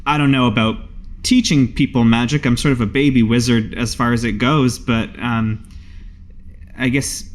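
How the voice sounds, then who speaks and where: Casting: man to play lonely young male siren wizard for tabletop roleplay, shy, hesitant, casual delivery, mid-range pitch. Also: fairly even intonation, normal speed, close to an everyday voice. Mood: shy, candid.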